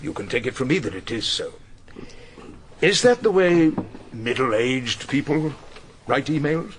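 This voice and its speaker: An actor playing a wily, irascible older English defence barrister in a radio drama, theatrical, probing and dryly ironic. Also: male voice, medium pitch, lively intonation, measured pace, slight background noise, natural voice. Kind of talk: radio drama courtroom acting and narration.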